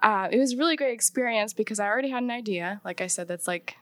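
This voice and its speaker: A woman's voice: medium pitch, fast, a bit bright, dry recording. Young woman guest, chatty and loose, dry recording. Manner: chatty, many "like" fillers, laughs often. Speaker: painter and singer, guest. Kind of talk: casual interview chat.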